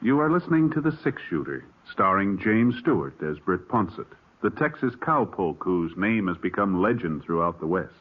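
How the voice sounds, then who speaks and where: A man's voice: low-pitched, sing-song, normal speed, few pauses, breathy edge. A man making a scripted announcement in the style of a 1950s radio drama. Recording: muffled, narrow old-time radio recording.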